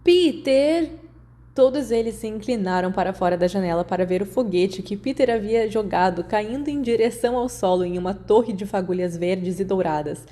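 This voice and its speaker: A Brazilian woman narrates a story in a soft, mellow tone. Her voice sits at a middle pitch, and she reads scripted prose as an audiobook narrator. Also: brisk, hardly any pauses.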